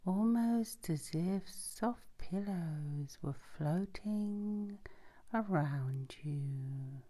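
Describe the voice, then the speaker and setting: Female hypnosis guide for sleep ASMR. Dark, relaxed tone, very unhurried, soothing and breathy, near a whisper. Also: on the low side. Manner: soothing, gentle, drawn-out phrasing. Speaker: hypnosis session host.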